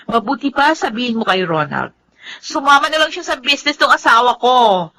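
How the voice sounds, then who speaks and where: Casting: bragging, meddlesome rich Filipina friend in melodrama; high pitch, very lively intonation. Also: female voice, normal speed. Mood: smug, boastful. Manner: boastful, gushing. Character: boastful, well-off, meddling young wife and friend. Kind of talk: melodramatic Filipino serial drama acting.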